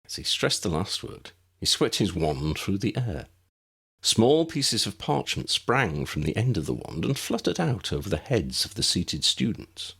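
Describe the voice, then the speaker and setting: A man narrates a storybook with a low voice that swings widely in pitch. His delivery is expressive and measured, like a practised storyteller. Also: creaky, breathy edge. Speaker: audiobook narrator.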